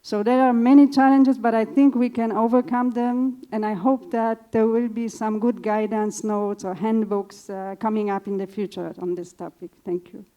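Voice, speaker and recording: A woman whose native language is Polish, speaking as an evaluation consultant on a panel, measured and thoughtful with frequent pauses. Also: a little high, fairly even intonation, lo-fi sound. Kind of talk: panel discussion answer.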